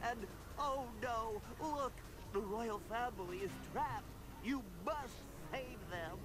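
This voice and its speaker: A man's voice: very high voice, takes it slowly, low-quality recording. A man voicing a panicky, fretful animal villager in a video game, exclaiming in alarm. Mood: panicked. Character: panicky, fretful male panda-like villager creature.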